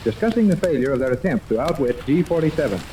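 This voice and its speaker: A man's voice: fairly high pitch, very animated, quick pace. A man narrating a 1930s radio serial as its announcer, in a dramatic, declamatory scripted style. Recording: distant, noisy 1930s radio recording.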